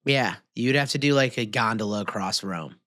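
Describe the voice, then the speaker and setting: A man's voice: on the low side, moderate pace, pushed and bright, dry recording, clean studio sound. A man co-hosting a podcast in casual comedic banter, talking in slangy, joking bursts. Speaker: podcast co-host.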